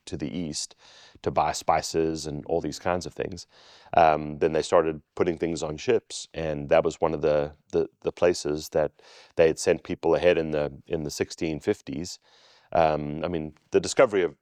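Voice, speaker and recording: Middle-aged pastor, low, unhurried storyteller, dry recording. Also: male voice, dry recording. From South Africa. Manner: relaxed storytelling, joking. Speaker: lead pastor. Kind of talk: casual conversation.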